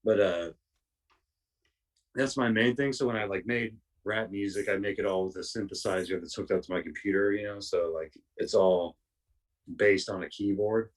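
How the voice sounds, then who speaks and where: A man with a raspy voice chatting casually as a podcast guest, self-deprecating and loose with slang and swearing. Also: lowish voice, fairly even intonation, normal speed. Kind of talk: casual interview chat. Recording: distant from the mic.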